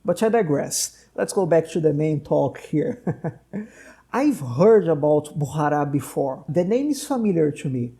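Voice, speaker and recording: A man's voice: on the high side, animated, a little slow, vocal fry, dark tone. A man who is a doctor and podcast host, a native Portuguese speaker, talking at length and digressing with friendly laughs. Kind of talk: conversational podcast interview.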